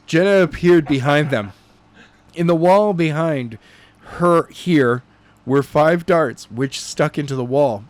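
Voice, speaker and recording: A man with a slightly high voice reads a novel aloud as its narrator. His delivery is animated and homemade rather than polished. He stumbles over phrases and corrects himself as he goes. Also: dry recording. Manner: halting, stumbling read with self-corrections. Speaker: narrator reading a novel chapter. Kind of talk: animated amateur audiobook narration.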